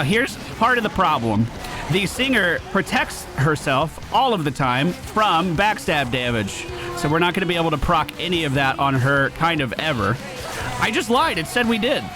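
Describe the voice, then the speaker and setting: A man streaming a video game, giving casual, jokey running commentary and talking himself through play. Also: fairly high pitch. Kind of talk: live gameplay commentary. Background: game music.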